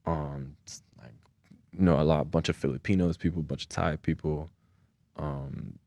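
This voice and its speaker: A young man from Geneva, Switzerland, talking as a podcast guest in a relaxed, casual way, swearing freely and telling stories with energy. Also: rumbling low, moderate pace, gravelly, dry recording, clean studio sound. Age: young adult. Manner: laid-back, swears casually, animated retelling. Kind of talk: casual conversation.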